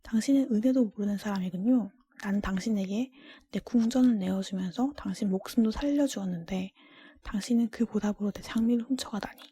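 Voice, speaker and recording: A woman voicing a stern, offended male beast from a storybook in a breathy whisper, formal and accusing. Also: mid-range voice, brisk. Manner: formal, accusing, menacing words in hushed delivery.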